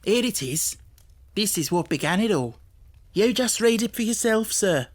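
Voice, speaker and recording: Man acting a blustery, astonished pawnbroker, pitched up. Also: animated, moderate pace. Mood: eager. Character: stout red-headed pawnbroker, astonished, plain-spoken, gullible.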